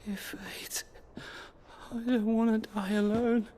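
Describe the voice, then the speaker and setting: A woman's voice: a little high, flat delivery, slow, mellow, a little hiss or hum. A woman playing a frightened, dying patient in a video game, her voice weak and failing.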